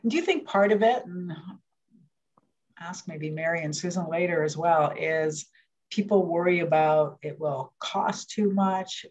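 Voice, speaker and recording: Host, friendly moderator, conversational, mid pitch, slightly husky, video call. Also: darkish tone, highs cut off. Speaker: host, councillor. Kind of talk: moderating a town hall.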